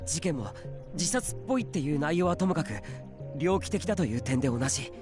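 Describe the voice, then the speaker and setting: A man's voice: fairly high pitch, expressive, very fast, over music, close to an everyday voice. Earnest teenage schoolboy sleuth acted by a man. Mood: insistent. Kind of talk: Japanese visual novel voice acting.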